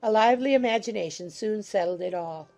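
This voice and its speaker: A woman narrates literary fiction in a mid-pitched, mellow voice with noticeable creak. It is a scripted audiobook reading. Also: a little fast, soft background music.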